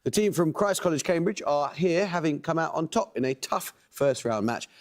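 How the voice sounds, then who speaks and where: A man hosting a quiz show, reading questions and introductions with a measured, precise delivery. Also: on the high side, lively intonation.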